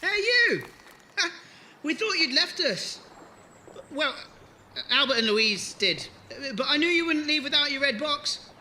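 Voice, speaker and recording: A woman's voice: high-pitched, big pitch swings, a little slow, long pauses, pushed and bright, a little hiss or hum, heavily acted. A woman playing a friendly, open young settler in a fan audio drama. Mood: playful, delighted.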